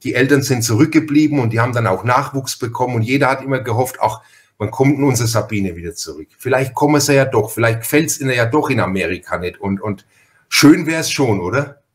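A man's voice: medium pitch, animated, a little fast. Effusive man hosting a podcast. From Franconia, Germany. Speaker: podcast host. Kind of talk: conversational interview chat.

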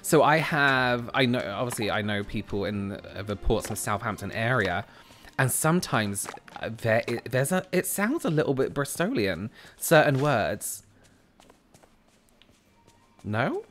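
A man's voice: a little slow, soft background music. A man in his thirties with a South London accent, chatting casually with his viewers while he plays a game on a headset mic at home. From England. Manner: chatty, animated, jumps into a high squeal when excited. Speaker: streamer. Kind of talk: casual chat while gaming, reading chat aloud. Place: small room at home. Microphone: headset with boom mic.

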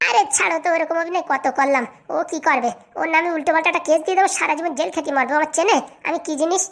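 A woman's voice: very high voice, rapid-fire, creaky, a bit bright, full character voice. A woman playing a cocky, scheming young woman in a comic cartoon, brash and boastful. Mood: boastful, scornful.

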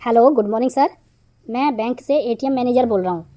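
A woman's voice: a little high, fairly quick, mellow, natural voice. A woman voicing a pushy male phone scammer who poses as an officious bank manager in a comic cartoon. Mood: insistent. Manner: persistent, wheedling, officious.

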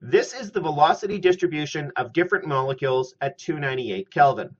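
A man's voice: a little high, expressive. Middle-aged man lecturing, muffled lo-fi sound. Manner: measured, methodical explaining. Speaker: chemistry lecturer. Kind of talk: scripted lecture narration. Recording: slightly muffled lo-fi audio.

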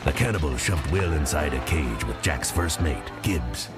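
A man's voice: rumbling low, lively intonation, normal speed. A man narrating an adventure audio drama in a dramatic, suspenseful storybook style. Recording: loud music under the voice.

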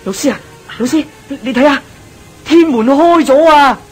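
An excitable, high-voiced young disciple. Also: male voice, moderate pace, faint music behind. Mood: awed. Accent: Hong Kong Cantonese. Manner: excitable, deferential, full of exclamations. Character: eager, curious, easily awed young male Buddhist disciple. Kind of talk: radio drama acting.

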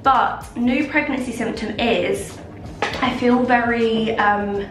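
A woman's voice: a little high, takes it slowly. A young woman vlogging a makeup routine in her bathroom, chatty and gushing, sounding nasal, congested and a bit out of breath. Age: young adult. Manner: chatty, gushing, many fillers. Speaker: lifestyle vlogger. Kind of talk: casual makeup tutorial chat. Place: home bathroom, indoors. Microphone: camera a little way off. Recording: roomy bathroom echo, distant mic, background hiss.

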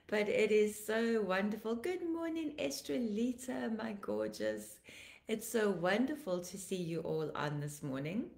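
A woman in her fifties, a pastor, sounding tired and a little croaky, warmly greeting viewers by name and praying fervently on a home live stream. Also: medium pitch, sing-song, a little slow, roomy sound. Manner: fervent praying, repeating declarations. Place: living room at home, indoors. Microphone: laptop webcam, video call framing.

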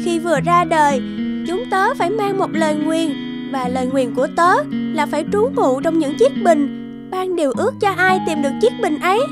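A woman voicing a tiny cheerful girl fairy in an animated cartoon, childlike, exuberant and a little wistful. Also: extremely high pitch.

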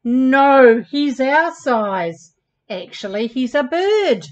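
A woman's voice: high-pitched, lively intonation, drawn-out words, dull audio, heavily acted. A woman voicing an earnest, insecure male camel with big plans in a children's storybook, plaintive and eager.

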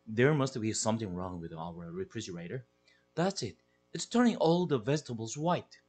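A man with a husky, breathy voice reading a storybook, playing a fretful middle-aged mother who talks anxiously in raised tones. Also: big pitch swings, normal speed, a little mellow, soft background music. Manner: anxious, breathless, rising pitch.

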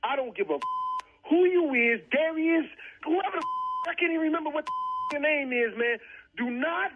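A man argues informally over the telephone. He sounds exasperated and repeats himself as he protests. His voice runs high and is muffled by the phone-like line. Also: animated, normal speed. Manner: exasperated, repetitive. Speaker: prank call recipient.

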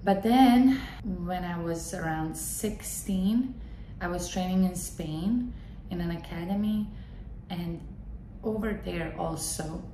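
A woman's voice: fairly low pitch, slow. A woman in her thirties from Bosnia and Herzegovina, a podcast host and tennis coach, telling her own story in an earnest, filler-dotted monologue. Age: 30s. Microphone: large desk condenser mic in front. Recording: echoey room with steady background noise.

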